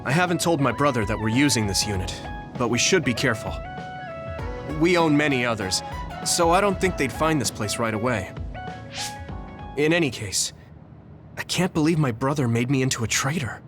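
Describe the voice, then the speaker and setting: A man's voice: medium pitch, lively intonation, moderate pace, pauses often, music in the background, natural voice. Man acting earnest young soldier hero. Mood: concerned. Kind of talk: video game cutscene acting.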